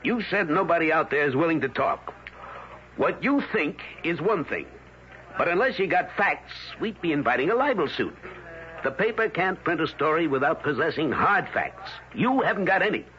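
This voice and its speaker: An actor in an old-time radio drama playing a skeptical, hard-nosed newspaper editor who talks in blunt, challenging bursts. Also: male voice, on the high side, expressive, normal speed, pushed and bright. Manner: blunt, challenging, clipped questions. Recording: muffled, noisy old radio recording.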